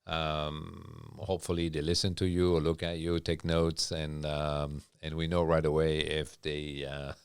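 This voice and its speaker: Gravelly deep-voiced French man. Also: little pitch movement, unhurried, hardly any pauses, no room sound, studio quality. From France. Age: middle-aged. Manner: hesitant, many fillers and restarts. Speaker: culinary instructor. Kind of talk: casual interview chat.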